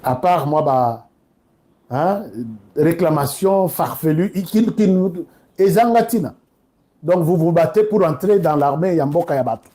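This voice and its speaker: A man's voice: a little high, unhurried, mellow. A man from DR Congo with a Congolese accent, giving informal, emphatic political commentary that addresses listeners directly and switches between two languages.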